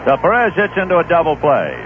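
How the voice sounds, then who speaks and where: Man calling baseball with lively, storytelling delivery. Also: fast, a faint crowd. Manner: relaxed storyteller who drifts into anecdotes between pitches. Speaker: play-by-play announcer. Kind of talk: live baseball radio commentary. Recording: tinny lo-fi 1970s radio broadcast with faint hiss.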